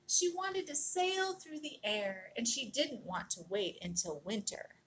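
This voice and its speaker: A woman reading a children's picture book aloud in an expressive, dramatic storytelling manner, leaning on key words and drawing them out. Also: a little high, unhurried, a little hiss or hum, poor audio. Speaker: storybook reader.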